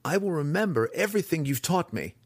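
A man's voice: medium pitch, sing-song, fast, dry recording, pitched above the performer's own voice. A man doing an eager young drow swordsman. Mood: earnest. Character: earnest proud young male drow fighter.

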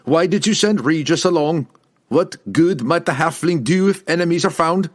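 A man voicing a brooding, hot-tempered young barbarian warrior in an audiobook, speaking formally and forcefully. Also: mid-range voice, measured pace, pitched above the performer's own voice, natural voice. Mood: angry, doubtful.

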